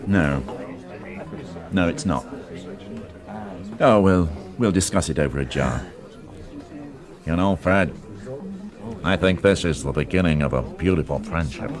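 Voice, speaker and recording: An actor playing a weary, principled police inspector in a radio drama, measured, formal and self-reproachful. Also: male voice, extremely low pitch, a crowd in the background. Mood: resigned, wry.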